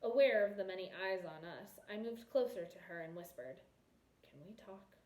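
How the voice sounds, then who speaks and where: A woman reading a novel aloud as an expressive scripted narration, recorded in an echoey room. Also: medium pitch, brisk. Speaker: audiobook reader.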